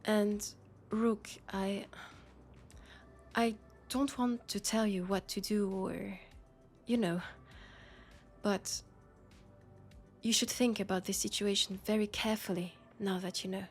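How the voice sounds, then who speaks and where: A woman acts out a disillusioned policewoman in a dystopian sci-fi audio drama, with a French accent. She confides slowly and hesitantly, worried and earnest, at an ordinary female pitch. Soft music plays underneath. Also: steady tone. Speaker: roleplay audio performer. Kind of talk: intimate sci-fi roleplay acting.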